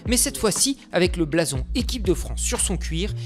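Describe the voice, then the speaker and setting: A man narrating a motorsport documentary in a steady, scripted voice-over. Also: high-pitched, normal speed. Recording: voice-over with music underneath.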